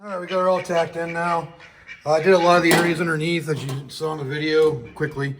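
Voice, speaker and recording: Hoarse man talking through shop work. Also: on the high side, level tone, fast, over music. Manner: rambling, easygoing, many fillers. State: hoarse, losing his voice after illness. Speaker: host of a home auto repair channel. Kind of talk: casual talk-through of a shop project.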